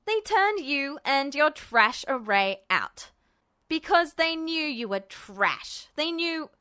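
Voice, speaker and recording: Woman acting a high-pitched, furious young boy. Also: sing-song, slow, full character voice. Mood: cruelly taunting. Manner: shouting, taunting, sweary. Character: furious, defiant, mocking twelve-year-old boy.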